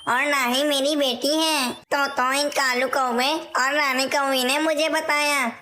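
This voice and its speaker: A woman's voice: sits high, steady tone, slow pace, pushed and bright, heavily acted. A woman voicing an anxious, indignant father parrot who rules as king in a cartoon story. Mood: angry, hurt. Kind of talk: cartoon moral-story dialogue.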